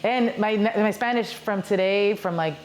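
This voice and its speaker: A woman's voice: mid-range voice, measured pace, a little hiss or hum. A young woman, a singer, talking casually in an interview, chatty and filler-heavy. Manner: chatty, many fillers like "like" and "you know".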